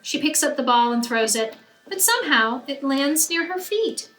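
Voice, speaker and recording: A woman reading a picture book aloud to children like a teacher, with expressive, emphatic narration that draws out key words. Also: high voice, moderate pace. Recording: roomy echo, faint background noise.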